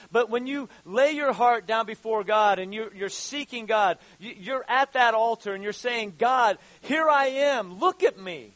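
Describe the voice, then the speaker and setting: A man's voice: high-pitched, a bit bright, no room sound. A man in his fifties preaching a sermon, stumbling over repeated words and then building up to fervent exhortation. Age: 50s. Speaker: pastor.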